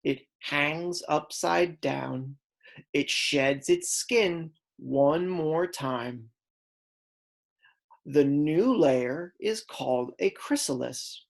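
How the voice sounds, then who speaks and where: Woman, low pitch, slow pace, teacher's read-aloud, instructional, deliberate, stressed key words. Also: creaky. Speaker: kindergarten teacher reading aloud. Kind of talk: read-aloud lesson for young children.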